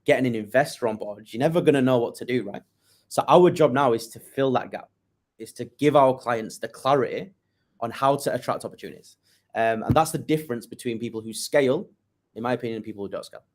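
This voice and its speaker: A man's voice: mid-range voice, darkish tone. A young man with a northern English accent, answering interview questions in a chatty way that keeps tagging on "right?". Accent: northern English, Yorkshire. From northern England. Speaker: guest, business owner.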